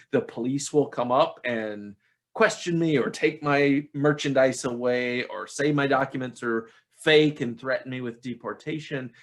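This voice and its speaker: Author, male, mid-pitched; thoughtful, explanatory interview answers with frequent fillers. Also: fairly bright tone. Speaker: author interviewed about his book.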